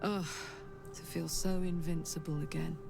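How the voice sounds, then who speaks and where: A woman's voice: sits low, steady tone, moderate pace, creaky, over music. An actress playing a brash, hearty, streetwise warrior woman in a video game, telling things in frank, punchy, colloquial phrases. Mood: wistful. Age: 30s. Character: brash, hearty thirty-year-old female warrior, streetwise and candid.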